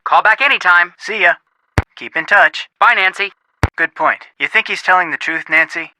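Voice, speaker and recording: A man voicing an eager, wisecracking young American amateur sleuth in a video game, expressive and earnest. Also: high voice, moderate pace, noisy background, lightly acted. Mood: friendly, helpful. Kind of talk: video game phone dialogue acting.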